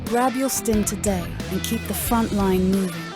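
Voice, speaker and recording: A woman's voice: normal speed, natural voice. A woman playing an enthusiastic announcer selling a battle stimulant in a dramatic sci-fi radio commercial. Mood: rousing. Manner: rousing, emphatic sales pitch. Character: enthusiastic female pitch voice for a sci-fi combat stimulant. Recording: loud plucked-string music bed. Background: loud guitar music.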